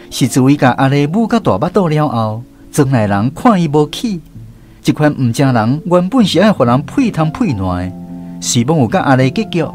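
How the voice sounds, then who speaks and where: A Taiwanese man tells a scripted story in a highish, animated voice. His delivery is expressive, in the manner of radio drama narration, with music behind him.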